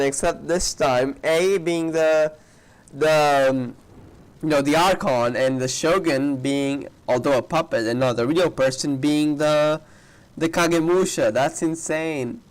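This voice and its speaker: A man's voice: on the high side, a little slow, clear, resonant tone, poor audio. A man giving casual reaction commentary on a game playthrough, thinking aloud in rambling asides. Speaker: gamer reacting to a story playthrough.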